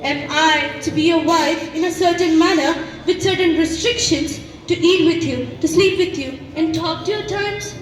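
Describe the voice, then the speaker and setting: A woman's voice: very high voice, moderate pace, few pauses. A young woman acting an earnest, insistent noble Roman wife in a school play, pleading in a declamatory way. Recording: echoey stage from far off, music behind.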